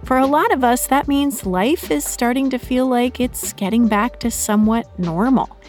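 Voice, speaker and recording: A woman's voice: fairly high pitch, normal speed, darkish tone, soft background music. A woman with an American accent presenting a scripted public radio segment as its host.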